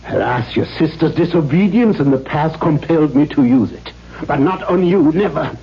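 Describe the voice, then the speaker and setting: An actor playing a cruel, domineering middle-aged father in a 1970s radio drama, mock-gentle and formal as he menaces his daughter. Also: fairly high pitch, animated, moderate pace, husky, full character voice. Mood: menacing. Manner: mock-gentle, formal phrasing, erupting into demands. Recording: dull, noisy 1970s radio recording.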